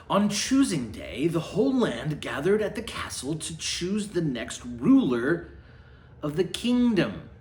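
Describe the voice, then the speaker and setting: A man's voice: a little high, big pitch swings, slow pace, darkish tone, a little hiss or hum. Sarcastic man reading aloud, swinging and roomy. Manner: mock-dramatic storytelling, sarcastic muttered asides. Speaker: host reading a children's book aloud with mocking commentary. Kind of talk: satirical storybook read-aloud with casual asides. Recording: roomy, echoing sound.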